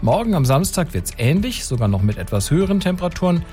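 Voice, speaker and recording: A man's voice: a little high, very animated, fast talker, warm tone, faint music behind. A man giving the radio weather forecast in a friendly, upbeat way. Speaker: weather presenter.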